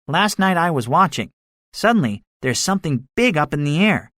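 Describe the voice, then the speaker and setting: A man's voice: fairly high pitch, big pitch swings, moderate pace, fairly bright tone, dry recording, studio quality, voice pushed up. A man voicing a cynical, boastful soldier survivor in a dramatized story, speaking emphatically with a swaggering manner. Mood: urgent, wary. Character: cynical, boastful male soldier, excitable survivor with grand schemes. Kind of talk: dramatized audiobook dialogue.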